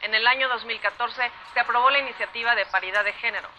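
Very sharp-sounding formal radio commentator. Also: female voice, fairly high pitch. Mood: informative. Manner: formal, measured. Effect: playing from a radio. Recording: sharp noisy radio playback.

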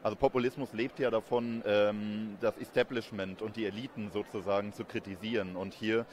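A man in his thirties giving measured, explanatory answers as an interviewed academic expert. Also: on the low side, steady tone, darkish tone, slight background noise. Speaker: interviewed expert, communication professor. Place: busy event courtyard in daylight. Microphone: handheld reporter microphone held close. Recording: outdoor urban ambience behind voice.

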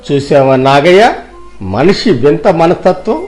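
Man playing a wise village uncle, middle-aged, fairly high voice, kindly, earnest, moralizing, storybook drama, music underneath. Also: expressive, moderate pace, darkish tone, lightly acted.

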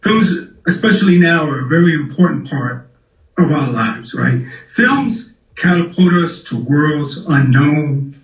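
A man introduces himself and his nonprofit's work, speaking in a measured, earnest way. His voice sits a little high for a man and carries a clear echo from the room. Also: animated. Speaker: nonprofit film director. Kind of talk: interview introduction. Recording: echoey room.

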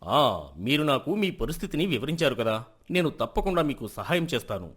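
A stern village elder sitting in judgement in a moral tale, played by a man. He speaks with measured authority and a widely moving intonation. He sounds fair and firm. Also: on the high side, very animated, a bit bright, slightly rough, exaggerated voice. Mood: calm, firm. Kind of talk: dramatised moral story acting.

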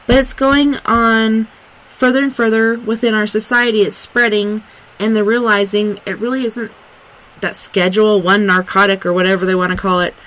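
A woman's voice: mid-range voice, steady tone, moderate pace, a bit bright, rough recording. A woman from Arkansas hosting a radio talk show, chatting casually and rambling with plenty of "like" and "um.". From Arkansas, USA. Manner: rambling, lots of "like" and "um". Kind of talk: casual on-air chat.

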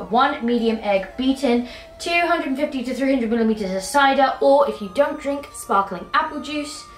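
A woman's voice: high-pitched, a bit bright. Chatty British woman in an echoey kitchen. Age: young adult. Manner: self-mocking, rambling, chatty. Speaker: cooking vlogger. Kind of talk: casual chatty cooking vlog. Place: home kitchen, indoors. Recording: echoey kitchen with faint music.

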